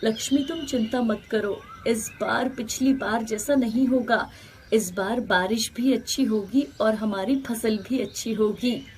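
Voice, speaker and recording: Hopeful, protective farmer for an animated tale, played by a woman: reassuring, sincere, slight noise behind. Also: on the high side, measured pace, lightly acted. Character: hopeful, protective, kind village farmer man. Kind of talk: animated moral story dialogue.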